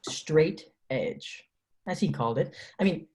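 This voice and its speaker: A man's voice: fairly high pitch, expressive, unhurried, darkish tone. A man reading a wry teenage boy talking to camera. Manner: conversational, wry, musing. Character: teenage boy, loyal friend, talks to the camera. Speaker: actor in a table reading. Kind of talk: table-read script acting, direct-to-camera asides.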